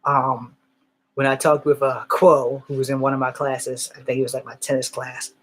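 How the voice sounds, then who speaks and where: A man's voice: medium pitch, little pitch movement, moderate pace, fairly bright tone, a little hiss or hum. A man rambling through candid stories in a casual monologue, swearing now and then. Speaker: vlogger.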